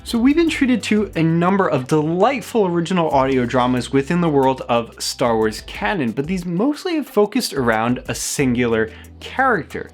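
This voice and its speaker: A man's voice: a little high, very animated, normal speed, hardly any pauses, soft background music. A man hosting a review video, reading scripted commentary as a content creator.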